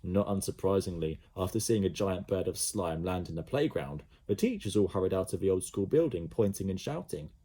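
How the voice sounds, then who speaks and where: A male teacher reading a storybook aloud to young pupils, telling it in a lively, expressive way. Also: deep voice, a little fast, few pauses, slightly rough.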